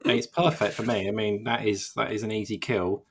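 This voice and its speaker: A man's voice: lowish voice, steady tone, fairly quick, a bit bright, slight background noise. A man co-hosting a casual games podcast, rambling and self-deprecating with lots of fillers. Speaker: games journalist co-host.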